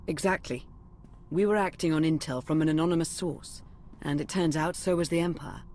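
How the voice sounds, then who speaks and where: A woman's voice: low voice, brisk, a little mellow, slight background noise, natural voice. A woman playing an earnest, analytical intelligence adviser in a video game, speaking seriously and precisely. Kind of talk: dramatic video game acting.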